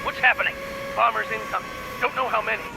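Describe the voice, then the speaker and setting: A man voicing an alarmed airbase operator in a video game, urgent and clipped, amid loud aircraft noise. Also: high-pitched, fairly even intonation, quick pace, vocal fry.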